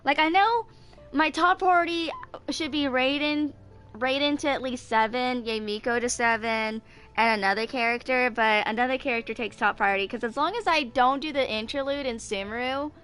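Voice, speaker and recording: Female gamer, 20s. Clean, smooth, fairly high voice; informal, meandering delivery, thinking out loud as she plays. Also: faint music behind. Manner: halting, distracted thinking aloud. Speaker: gaming video creator. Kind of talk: casual rambling game commentary.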